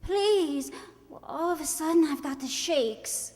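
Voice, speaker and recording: A woman playing a flighty, high-strung society bride in a screwball radio comedy, theatrical and full of breathless exclamation. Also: measured pace, a little mellow. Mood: dreamy, giddy. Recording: echoey hall stage recording.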